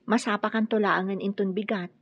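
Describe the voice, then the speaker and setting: An actress with an Ilocano accent playing a caring young sweetheart who fusses and gently chides, in radio drama style. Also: female voice, medium pitch, steady tone, a little fast, slightly rough, highs cut off.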